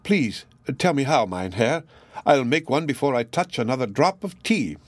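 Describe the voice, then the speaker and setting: A man's voice: mid-range voice, sing-song, moderate pace, dry recording. A genteel young lady of a storybook, voiced by a male narrator, dreamy, earnest and eager. The sound is dry and close. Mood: eager, curious.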